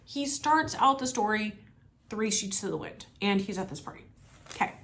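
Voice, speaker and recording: Very animated, bright-voiced woman teacher. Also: mid-range voice, moderate pace. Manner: animated, colloquial, uses slang. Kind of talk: casual lesson explaining a story read aloud.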